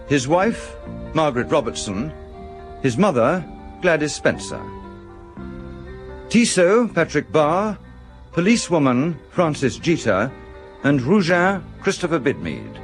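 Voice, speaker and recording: A man with a British accent reading formal scripted radio credits as an announcer. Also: a little high. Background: music.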